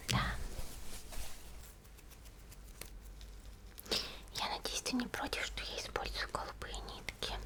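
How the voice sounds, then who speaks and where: Whispering young monster schoolgirl, played by a woman. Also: lightly acted. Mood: reassuring. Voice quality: breathy, soft whisper. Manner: slow, soothing, hesitant musing with short pauses. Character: gentle young monster schoolgirl stitching a doll-like friend. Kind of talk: whispered ASMR roleplay. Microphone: close microphone.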